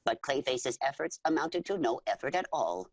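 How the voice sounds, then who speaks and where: A woman performing scripted, dramatic narration for a superhero audio drama, in a husky voice. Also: rumbling low, big pitch swings, normal speed, dry recording, exaggerated voice.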